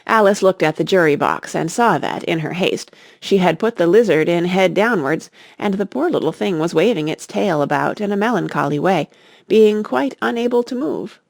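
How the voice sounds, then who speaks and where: A woman reading a classic children's story aloud in an expressive narrating style. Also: fairly low pitch, normal speed, creaky, dry recording, clean studio sound. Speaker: audiobook reader.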